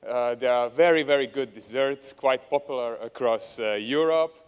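A man's voice: medium pitch, moderate pace, clear, resonant tone. A young man presenting on a conference stage with a handheld mic, dryly humorous and hesitant, with plenty of fillers. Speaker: conference speaker. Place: large conference hall stage. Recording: long hall reverb.

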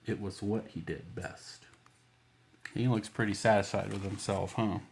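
A man's voice: on the low side, fairly even intonation, a little slow, gravelly, noisy background. Playful man reading at children's storytime. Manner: playful, simple child-directed phrasing. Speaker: children's library storytime host. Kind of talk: picture-book reading with casual asides to children.

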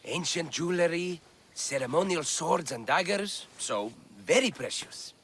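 An actor playing an eager, bookish scholar of antiquities in ancient Greece, with florid, theatrical enthusiasm in video game dialogue. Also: male voice, fairly high pitch, animated, measured pace, fairly bright tone, slight background noise.

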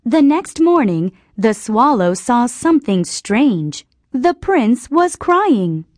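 A woman's voice: fairly high pitch, very animated, slow pace, darkish tone, no echo, studio quality. A woman narrating a scripted children's story in simple, carefully phrased sentences.

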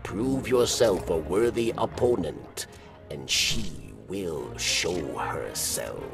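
Game creature: ancient alien warden, extremely low gravelly croak, slow and ominous. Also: male voice, animated, far from the mic, over music, heavily acted. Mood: grave warning. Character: weary, grave old male alien insectoid warden.

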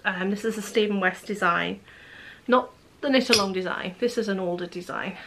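Knitting lady chatting to her camera at home, bit croaky, lots of ums. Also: medium pitch, moderate pace, noisy background. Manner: chatty, rambling with many ums. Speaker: knitting vlogger. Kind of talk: casual chat to camera. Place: living room at home, indoors. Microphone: camera close in front.

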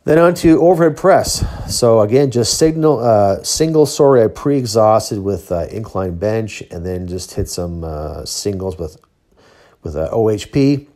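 Male, around fifty, low and very mellow voice, casual vlog narration, matter-of-fact and self-critical athlete. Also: lively intonation, measured pace. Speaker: athlete vlogger, Highland games thrower. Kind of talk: casual training vlog voice-over.

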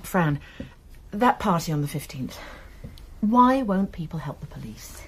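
A woman playing a college nurse and amateur sleuth in a radio drama, gentle, earnest and persuasive. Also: fairly low pitch, very animated, a little slow, breathy edge, exaggerated voice.

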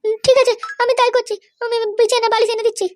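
A man voicing a caring cartoon aunt who coaxes and reassures in a squeaky voice. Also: little pitch movement, fast talker, no room sound, studio quality. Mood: caring, reassuring. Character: caring, soothing cartoon aunt tending the wounded. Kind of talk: amateur comedy cartoon dubbing.

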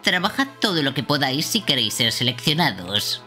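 Woman putting on a bossy, excitable bird deputy. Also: rumbling low, brisk, faint music behind, exaggerated voice. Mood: stern, formal. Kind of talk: amateur game dubbing.

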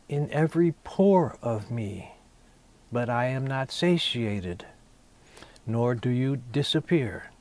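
Slow-paced older man reciting poetry. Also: medium pitch, darkish tone. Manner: deliberate, measured phrasing with pauses. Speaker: poet reading own work. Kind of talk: poetry reading, intimate recitation.

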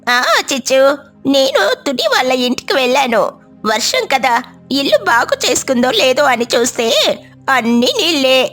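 A woman's voice: sits high, expressive, moderate pace, a bit bright, soft background music. A woman voicing a helpful, frank, motherly eagle in a cartoon story, with an advising, conversational manner.

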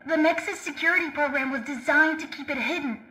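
A woman's voice: high voice, a little fast, sharp tone, reverberant. A woman playing an anxious, urgent young boy who earnestly warns his friend in a video game. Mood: earnest, apologetic.

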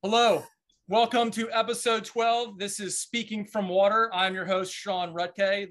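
Eager high-voiced podcast host on a webcam. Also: male voice, a bit bright. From Washington, D.C., USA. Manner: eager, stumbling, repeats words when starting sentences. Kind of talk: podcast interview hosting. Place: indoor room at home. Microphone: video call webcam.

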